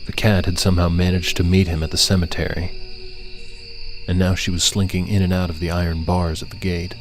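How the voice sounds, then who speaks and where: A man narrates dramatic fiction in a low, level voice with little rise or fall. His delivery is measured and suspenseful, with music clearly behind him. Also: level tone. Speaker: audiobook narrator.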